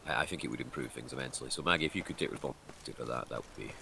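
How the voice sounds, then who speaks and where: Deep deep guy on a call, quick dry jokes, bit of hiss. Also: gravelly. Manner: dry, deadpan joking. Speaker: stream co-host. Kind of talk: casual chat.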